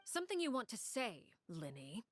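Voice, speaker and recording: Cold, commanding matriarch. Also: female voice, fairly high pitch, wildly swooping pitch. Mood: cold, grave. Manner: measured, stern, formal. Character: commanding cold woman ruling an orphan house, called Father.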